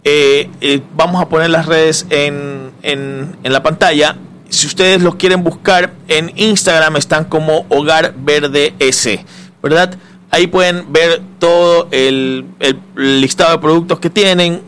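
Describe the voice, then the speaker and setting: A man's voice: on the high side, steady tone, measured pace, a bit bright, slight background noise. A middle-aged man hosting a casual interview, conversational and often checking for agreement with short tag questions. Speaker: interview host.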